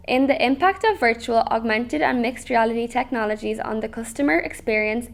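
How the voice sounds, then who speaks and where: A woman narrates scripted educational material in a fairly high voice. There is a pronounced creak in her tone. She presents formally, reading in a measured, orderly manner.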